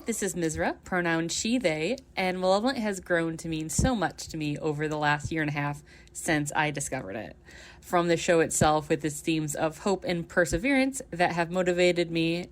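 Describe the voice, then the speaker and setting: A woman giving a prepared personal testimonial as a fan's voice message. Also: deep voice. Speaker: fan sending a voice message.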